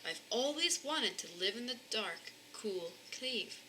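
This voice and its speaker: Woman voicing bright, curious little toy bear. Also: on the high side, lively intonation, slow pace, a little hiss or hum, exaggerated voice, poor audio. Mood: wistful wonder. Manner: musing aloud to himself.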